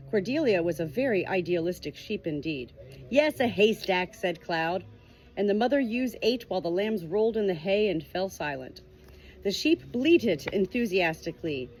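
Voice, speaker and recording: A woman reading a storybook aloud in an expressive amateur style, with casual asides, recorded on a phone at home. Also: medium pitch, a little hiss or hum, poor audio. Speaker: amateur book reader, channel host.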